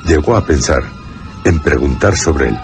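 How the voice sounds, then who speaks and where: A man with a Castilian accent narrating a radio horror story in a grave, deliberate way with dramatic pauses. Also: rumbling low, animated, vocal fry, loud music behind the voice. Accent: Castilian Spanish.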